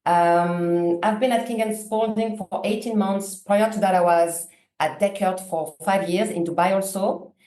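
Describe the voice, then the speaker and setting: A woman with a French accent answering interview questions as a guest lawyer, with frequent pauses and fillers. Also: mid-range voice, mellow, reverberant, low-quality recording. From France. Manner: hesitant, many fillers, careful phrasing.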